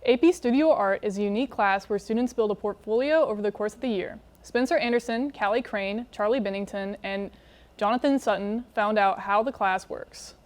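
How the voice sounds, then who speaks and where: A teenage girl at the anchor desk of a school news show, reading her lines in a bright, upbeat way. Her voice sits in an ordinary middle register. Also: mid-range voice, brisk.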